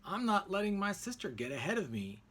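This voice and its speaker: A man's voice: high-pitched, very animated, a little fast, a bit bright, voice pushed up, full character voice. A man voicing an earnest, determined young boy in a storybook, speaking plainly and emphatically. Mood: cheeky.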